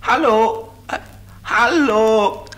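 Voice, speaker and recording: A man's voice: high-pitched, drawn-out words, a bit bright, faint music behind, exaggerated voice. An actor voicing a friendly, playful little flying ladybird car in a 1970s children's radio play, cheerful and reassuring. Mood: playful, curious. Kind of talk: 1970s children's audio drama acting.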